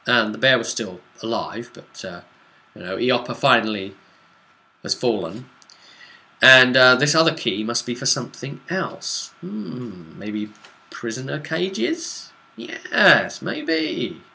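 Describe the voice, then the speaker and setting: A man's voice: mid-range voice, very animated, takes it slowly, gravelly, bright, forceful tone. A man casually recapping a tabletop role-playing session as game master, explaining conversationally with dramatic asides.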